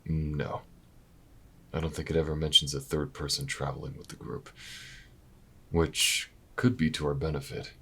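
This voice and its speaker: An actor playing a weary, wry, protective investigator in an intimate audio drama, low-key and dry, with hesitant pauses. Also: male voice, flat delivery, pushed and bright, exaggerated voice. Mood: grave. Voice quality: very deep, gravelly, husky. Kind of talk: audio roleplay acting, intimate and naturalistic.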